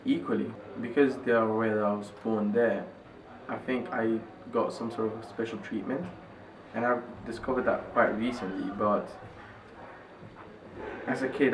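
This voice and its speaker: A young man from Italy, talking hesitantly with many filler words in an interview. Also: fairly low pitch. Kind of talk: personal reflection in interview. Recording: echoey, distant mic with loud ambient noise.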